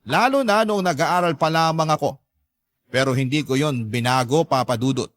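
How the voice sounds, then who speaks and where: A man's voice: a little high, moderate pace, a bit bright, no room sound. A man reading a scripted first-person story as a dramatic narrator.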